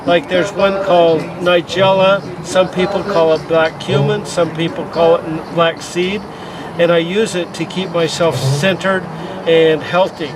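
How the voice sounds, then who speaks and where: A man who is a native herbalist, introducing himself in a calm, plainspoken way. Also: a little high, noisy background. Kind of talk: casual on-camera introduction.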